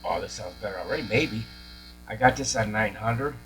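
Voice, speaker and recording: A man's voice: lowish voice, fairly quick, a bit bright, rough recording. A man giving a casual hands-on commentary as he repairs things, muttering and thinking aloud to himself. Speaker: radio repair hobbyist. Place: repair workshop. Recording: clear mains hum and noise.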